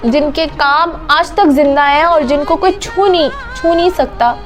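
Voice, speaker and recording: A sorrowful woman giving a heartfelt spoken tribute, halting as she searches for words and slipping in English phrases. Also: high voice, soft background music. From Pakistan. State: grieving, sorrowful. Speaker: singer paying tribute.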